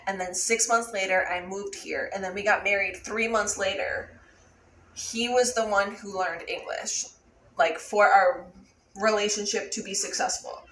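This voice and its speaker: A woman's voice: medium pitch, fairly bright tone, distant mic. Young American woman vlogger, chatty and explanatory, echoey room, faint background noise. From Buffalo, USA. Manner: chatty, explains at length with frequent "like". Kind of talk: casual chat.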